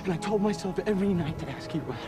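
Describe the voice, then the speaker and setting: An actor playing a desperate, protective man in a screen drama, halting and repeating himself with intense emotion. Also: high-pitched, lightly acted. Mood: anguished confession. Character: desperate, protective husband confessing and pleading. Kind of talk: live-action screen drama acting. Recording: loud music under the dialogue.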